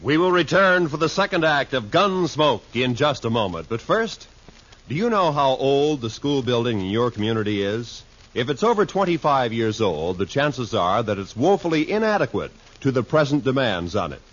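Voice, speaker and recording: A man's voice: medium pitch, sing-song, moderate pace, fairly bright tone. A man with an American accent reading a scripted announcement as a 1950s radio announcer. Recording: muffled vintage radio broadcast.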